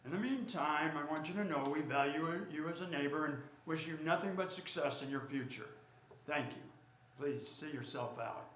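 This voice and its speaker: A man playing a smooth, self-assured department store tycoon, brisk and commanding, in a radio drama. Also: on the high side, fairly bright tone, natural voice. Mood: cool, formal. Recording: roomy stage recording, faint ambient noise.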